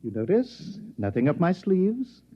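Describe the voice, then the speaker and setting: An actor playing an urbane, affable and smug con man with a husky voice in a 1950s radio drama. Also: sits high, huge pitch swings, unhurried, heavily acted. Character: genteel, talkative male con man gone native in jungle. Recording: dull vintage 1950s radio recording.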